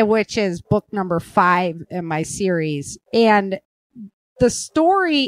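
A woman hosting a podcast, chatting informally and a little haltingly, with emphasis on key words. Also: medium pitch, no room sound. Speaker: podcast host and author. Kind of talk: casual podcast chat.

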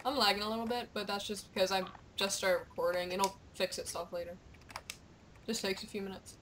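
Bright, excitable young woman gamer. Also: mid-range voice, brisk, slight background noise. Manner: excitable, playful, goofy exclamations. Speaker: gamer, video creator. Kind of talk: casual gameplay chat, reading quest captions aloud.

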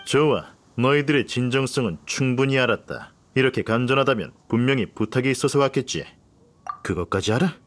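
A man's voice: mid-range voice, expressive, a little fast, a little hiss or hum, close to an everyday voice. A male actor playing a haughty, condescending nobleman in a video game dub, speaking in a pompous, formal aristocratic way. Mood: smug, approving. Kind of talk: dubbed video game dialogue.

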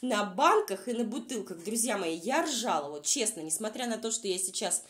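Female vlog commentator, mid-pitched but very animated, under the weather, mocking and exasperated, informal. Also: normal speed. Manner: sarcastic, exasperated. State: unwell, says voice is nearly gone.

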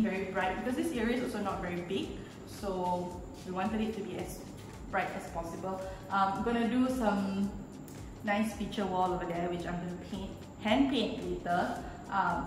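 A woman's voice: mid-range voice, far from the mic, background noise. A woman in her thirties from Malaysia, chatty and explanatory, giving a walkthrough of a house being renovated, filmed with a handheld camera a few feet away. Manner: chatty and explanatory, with frequent "um" and gesturing. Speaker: home renovation vlogger. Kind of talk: casual house tour walkthrough. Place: empty house with bare rooms and stairwell, indoors. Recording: echoey empty rooms, voice a bit off-mic.

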